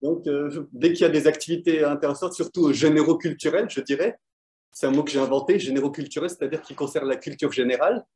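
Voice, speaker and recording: Man, from Belgium, interview guest, hesitant, thoughtful, slightly high voice. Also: fast, warm tone. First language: French. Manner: hesitant, many fillers, thoughtful. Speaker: quiz winner, guest. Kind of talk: casual interview answers over an online call.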